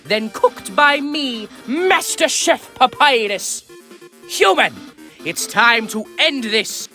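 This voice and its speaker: A man voicing a vain, naive cartoon skeleton from a video game, with a grandiose, theatrical delivery that jumps between hushed asides and loud exclamations. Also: extremely high pitch, lively intonation, takes it slowly, heavily acted. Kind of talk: amateur video game character dub. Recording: voice over background music.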